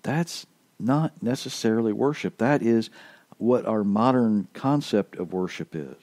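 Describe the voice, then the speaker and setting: A man gives a homey podcast sermon in a low, mellow voice, recorded close and dry with no room sound. He is earnest and emphatic and keeps driving his points home. His voice sounds slightly worn. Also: a little slow, no room sound. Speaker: podcast preacher.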